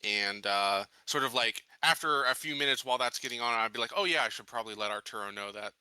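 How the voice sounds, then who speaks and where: A man's voice: medium pitch, quick pace, pushed and bright, clear, resonant tone, no echo, rough recording. A man playing a tabletop game, casually talking through his character's orders and plans. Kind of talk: casual in-character planning and chat.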